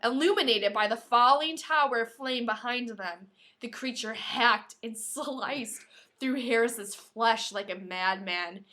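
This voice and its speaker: A high, very bright woman's voice with a playful podcast-host manner. She moves between dramatic read-aloud delivery and informal banter. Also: takes it slowly. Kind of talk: reading a novel aloud with casual banter.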